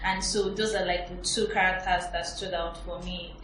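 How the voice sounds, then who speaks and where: A woman's voice: lowish voice, flat delivery, moderate pace, a bit bright. Woman panelist in an echoey room. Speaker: panel reviewer. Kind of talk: casual film review discussion. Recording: echoey room with faint background noise.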